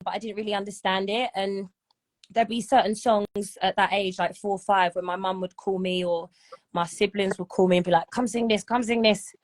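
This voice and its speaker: Mujer charlatana de voz media. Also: female voice, mid-range voice, fairly even intonation, normal speed. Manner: chatty, often asks "do you know what I mean?". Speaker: singer and guest. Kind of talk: casual interview chat.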